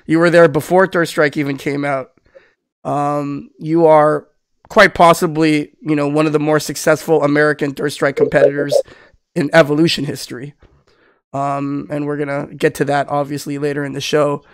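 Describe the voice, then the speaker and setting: A man's voice: fairly high pitch, little pitch movement, normal speed, smooth, dry recording, studio quality. A middle-aged man, born in the Philippines and raised in Southern California, hosting a casual podcast interview over a video call, talking in a rambling way with many restarts and fillers. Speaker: podcast host. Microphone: headphones with studio mic on webcam.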